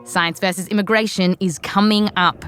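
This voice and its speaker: A woman's voice: mid-range voice, steady tone, normal speed, loud music behind the voice. An Australian woman hosting a science podcast in a scripted narration with playful, jokey asides. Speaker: podcast host.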